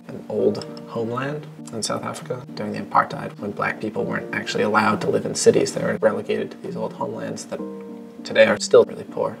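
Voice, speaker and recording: Flat, gravelly young student voice. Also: female voice, rumbling low, far from the mic. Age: young adult. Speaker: graduating student. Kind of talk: recounting a trip in an interview.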